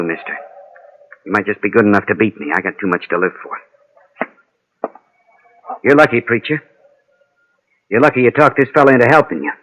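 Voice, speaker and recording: A man's voice: lowish voice, a bit bright, a little hiss or hum, lightly acted. An actor playing a vengeful, taunting gunman with folksy frontier speech in an old-time radio Western. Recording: dull vintage radio recording.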